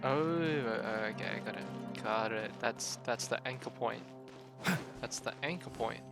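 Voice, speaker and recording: A man's voice: medium pitch, a little slow, creaky. A man giving casual, offhand gameplay commentary as a streamer, thinking aloud while he plays. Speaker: game streamer. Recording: loud game music behind voice. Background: loud game music.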